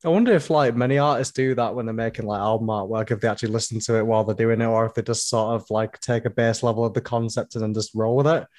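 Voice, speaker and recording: A man, interviewing a band in a relaxed, upbeat way. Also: mid-range voice, quick pace, few pauses, no echo, clean studio sound. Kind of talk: casual interview chat.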